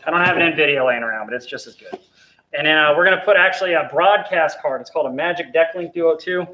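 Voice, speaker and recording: A man's voice: on the high side, quick pace, highs cut off. Chatty young man in a noisy studio. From South Carolina. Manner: relaxed, chatty, explains as he works. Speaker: host building a computer. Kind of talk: casual hands-on demo chat. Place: hot studio.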